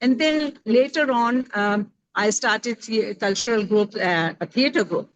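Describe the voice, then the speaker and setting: A woman's voice: fairly high pitch, unhurried, no echo, lo-fi sound. Reflective Indian-accented middle-aged woman. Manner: thoughtful, searching for words with many fillers. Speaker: roundtable panelist. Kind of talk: panel discussion, conversational.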